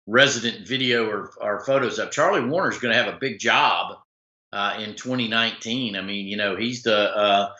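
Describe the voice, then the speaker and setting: A man hosts a loose sports talk stream, rambling through fillers and restarts in a lowish, forceful voice that sounds a little rough. The sound is muffled and lo-fi, with an echoey room around him. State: slightly rough voice. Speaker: sports talk show host. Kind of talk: casual live-stream commentary reading viewer comments.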